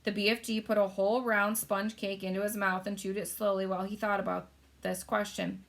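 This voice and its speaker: A woman narrating a children's book in an expressive read-aloud style. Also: mid-range voice, fairly quick, vocal fry. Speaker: story reader.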